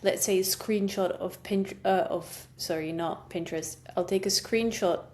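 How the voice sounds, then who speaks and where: Woman podcast host, mid-pitched, chatty, rambles with fillers. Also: moderate pace, darkish tone. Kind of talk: casual interview chat.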